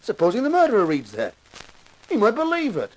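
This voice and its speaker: An actor playing a nervous, cowardly television star who stammers and protests, in 1950s radio drama style. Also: male voice, sits high, wildly swooping pitch, fairly quick, exaggerated voice, poor audio. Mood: nervous, indignant.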